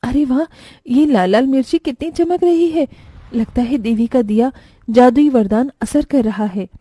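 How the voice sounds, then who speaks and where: Female reader as struggling young mother, cartoon. Emotional, high voice, dry close sound. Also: measured pace, dark tone, slightly rough, no echo. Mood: amazed. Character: poor, anxious young village mother, devout daughter-in-law.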